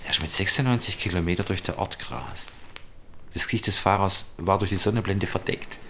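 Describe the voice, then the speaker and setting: A man's voice: sits low, brisk, fairly bright tone, slight background noise. An actor playing a down-to-earth male private investigator in a German radio drama, talking in a matter-of-fact, colloquial way. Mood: explaining. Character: gruff, down-to-earth male private investigator reasoning through a case. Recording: music behind the voice.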